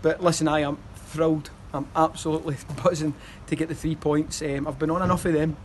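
A man's voice: a little high, level tone, fairly quick, slight background noise. A Scottish man in his thirties, a football manager giving a post-match interview outdoors, talking in rambling runs with fillers and restarts. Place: outdoors by a stadium wall.

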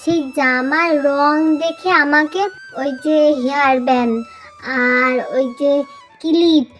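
Casting: small cartoon girl, very high voice, eager and whiny, wheedling, music behind. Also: fairly even intonation, slow pace, fairly bright tone. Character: eager, whiny little schoolgirl pestering her mother. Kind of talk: cartoon voice acting. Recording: music under the voice.

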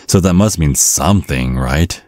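A man's voice: extremely low pitch, expressive, a little slow, a little mellow, no room sound, clean studio sound. A man playing a centuries-old vampire father in an ASMR roleplay, mock-polite and condescending with a sly threat underneath. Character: menacing, genteel centuries-old vampire father sizing up suitor. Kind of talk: ASMR roleplay, scripted monologue.

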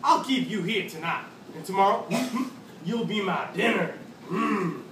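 A young man playing a gloating, easily fooled farmer in children's readers theatre, hammy and drawn-out. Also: sits high, a bit bright. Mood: smug. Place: classroom, recorded by a far camera. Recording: echoey classroom from far off, noisy.

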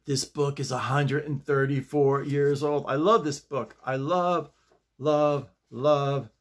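An American man in his sixties chatting casually to camera in a halting, digressive way, often repeating his phrases. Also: mid-range voice, steady tone. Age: 60s. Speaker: vlogger. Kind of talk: rambling casual chat to camera. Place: small home office, indoors.

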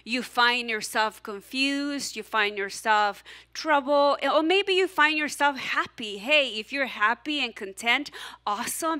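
A woman pastor in her forties with a non-native English accent, preaching a sermon from a church stage in an animated, encouraging way and prompting the congregation to repeat after her. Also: fairly high pitch. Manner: animated, encouraging, audience call-and-response. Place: church stage, large hall.

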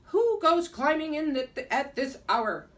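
A woman voicing a gruff, jovial male Nutcracker ornament from a children's storybook. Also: high-pitched, sing-song, measured pace, fairly bright tone, voice pushed up, full character voice. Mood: challenging. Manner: gruff, hearty. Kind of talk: storybook character read-aloud. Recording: distant mic in a room.

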